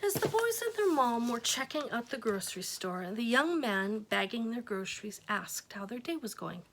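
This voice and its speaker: A woman reading a children's book aloud, with gentle asides to her young listeners. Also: a little high, very animated, moderate pace, a little hiss or hum, poor audio. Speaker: reader.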